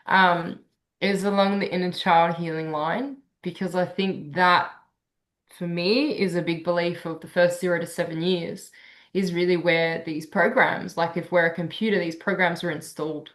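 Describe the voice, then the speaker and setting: A woman sound healer speaks in a lowish voice, earnest and unhurried. She explains her practice in a casual online session, with frequent fillers and restarts. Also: steady tone, fairly bright tone.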